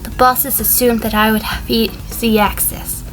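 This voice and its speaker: Wary ex-conspirator sheep on the witness stand, played by a woman; higher register, dry, measured audio drama delivery. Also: level tone, faint music behind, lightly acted. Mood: composed, wary. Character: female sheep defendant, a wary, composed former conspirator. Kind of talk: fan audio drama acting.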